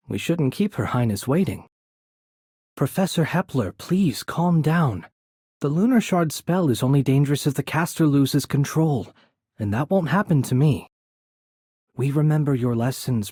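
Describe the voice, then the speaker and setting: A man playing a courteous, composed young noble mage in a video game, soft-spoken and slightly breathy, with polite, measured, formal phrasing. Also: mid-range voice, no room sound, clean studio sound. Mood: calm, reassuring. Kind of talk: video game character voice acting.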